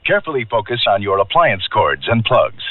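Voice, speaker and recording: A man's voice: on the low side, big pitch swings, fairly quick. A man reading an orderly, instructive public service announcement for radio. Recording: tinny band-limited old radio broadcast, faint music.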